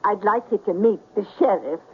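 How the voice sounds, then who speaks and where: A woman's voice: medium pitch, animated, brisk, breathy edge. An older woman playing a kindly, trusting little old lady who fusses hospitably, in a 1970s radio drama. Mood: warm, fussing. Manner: fussing, motherly, chatty. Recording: noisy, narrow-band 1970s radio recording.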